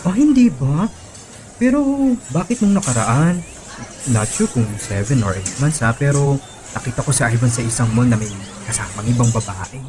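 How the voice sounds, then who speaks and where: A woman's voice: extremely low pitch, very animated, moderate pace. A woman playing a bubbly, gossipy young former college friend in a radio drama, flamboyant and exclamatory. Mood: surprised, gossipy. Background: music bed.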